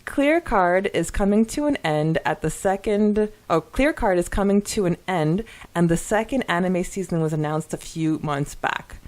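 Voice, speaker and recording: A woman with a slightly low voice talks as a podcast co-host. She chats casually like a fan and is animated, often doubling up words for emphasis. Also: normal speed, few pauses.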